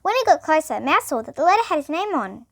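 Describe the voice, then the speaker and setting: A woman's voice: high voice, big pitch swings, fast talker. A young girl reading a children's bedtime story aloud, expressively and with small stumbles. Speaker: storybook reader.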